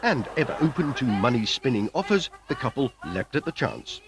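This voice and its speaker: A man's voice: mid-range voice, big pitch swings, normal speed. A British man reading wry, scripted documentary narration as a voice-over. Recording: voice-over with music, from VHS tape.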